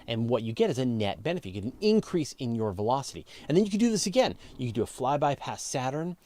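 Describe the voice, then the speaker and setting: Male live Q&A host, relaxed warm timbre, medium pitch, casual unscripted explanations with stressed key points. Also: quick pace. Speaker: host of a live space and astronomy Q&A show. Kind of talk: casual explanatory Q&A, answering viewer questions off the cuff.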